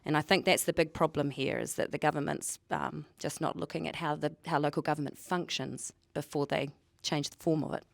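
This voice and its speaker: A woman's voice: deep voice, fairly even intonation, fairly quick, vocal fry, few pauses, dry recording. A woman speaking emphatically and argumentatively at a public forum, repeating words for stress.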